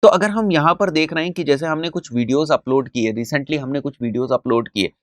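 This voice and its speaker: A man's voice: a little high, fairly quick, no room sound, studio quality. A man with an Indian accent explaining as a marketing instructor in a conversational, didactic way, mixing in English phrases and often checking in with short tags. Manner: mixes English terms and phrases into speech.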